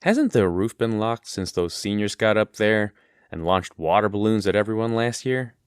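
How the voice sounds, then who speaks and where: A man's voice: on the low side, expressive, normal speed, clear, resonant tone, dry recording, studio quality, natural voice. A man playing a curious, practical teenage boy in an audio drama, with a questioning way of talking.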